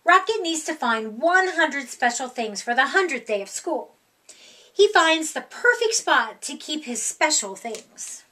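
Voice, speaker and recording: Storytime reader: middle-aged woman, high voice, unhurried and expressive picture-book narration. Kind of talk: read-aloud children's picture book narration. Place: small room indoors.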